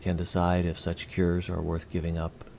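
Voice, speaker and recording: A man playing a courteous alien envoy in an audio drama, speaking formally and deliberately with precise wording. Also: deep voice, completely flat delivery, vocal fry, warm tone, slight background noise. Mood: formal, firm. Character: courteous, measured male alien envoy from Kree. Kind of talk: scripted audio drama acting.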